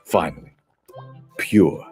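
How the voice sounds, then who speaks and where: A man's voice: slow, a little mellow, faint music behind, lightly acted. A man playing an obsessive male movie hoarder who speaks in theatrical, grand pronouncements. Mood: grandiose.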